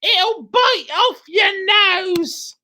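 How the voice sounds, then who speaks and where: A woman's voice: extremely high pitch, very slow, pushed and bright, voice pushed up, exaggerated voice. A woman voicing a mean, sneering old man who taunts slyly. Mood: mock alarm.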